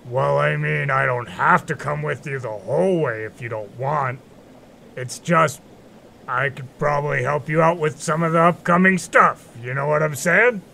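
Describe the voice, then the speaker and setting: A man's voice: on the high side, normal speed, creaky, sharp tone, a little hiss or hum, full character voice, low-quality recording. A man playing a laid-back, reluctant but kindly young magician in an audio drama, casual and wry. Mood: earnest.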